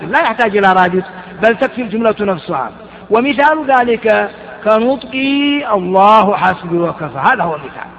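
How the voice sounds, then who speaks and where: A man with a high voice teaches grammar, his words ringing with a long hall echo. He keeps firing quick questions at his listeners and answering them himself, with plenty of false starts. The recording sounds muffled and lo-fi. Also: fairly bright tone. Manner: halting, with repeated false starts. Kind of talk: classroom lesson explaining verse on grammar.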